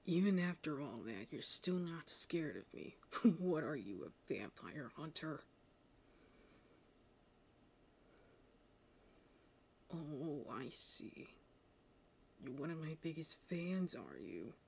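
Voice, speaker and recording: An arrogant male anime vampire, acted out by a woman, speaks low and slowly to the listener. The voice is breathy and gravelly, swinging widely in pitch as it teases with cold, hungry smugness. It suits an intimate roleplay audio drama. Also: sing-song, a little mellow, heavily acted. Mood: teasing. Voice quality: low, breathy, often whispering. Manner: slow, smug, teasing.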